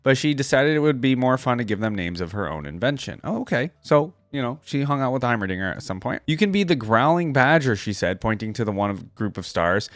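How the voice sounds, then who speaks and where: A man reading a story aloud with expressive storytelling and reacting to it as a reaction video host. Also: lowish voice, no echo.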